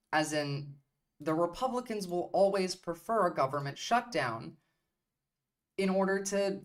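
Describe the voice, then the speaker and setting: A woman streamer from Turkey talks politics on her livestream in a lowish voice. She is blunt and profane, hammering each point by saying it again.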